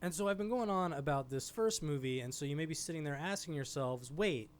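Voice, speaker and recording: A man's voice: a little high, expressive, fairly quick, a little hiss or hum. A man hosting a video essay, giving informal, enthusiastic film commentary in a conversational style. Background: background music.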